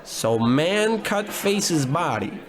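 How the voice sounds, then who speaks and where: An actor playing a bumbling, self-important, grumbling private investigator in a comedy in old radio detective style. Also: male voice, on the high side, big pitch swings, drawn-out words, crowd noise, exaggerated voice. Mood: self-important, insistent. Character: bumbling, blustery, gluttonous male private investigator.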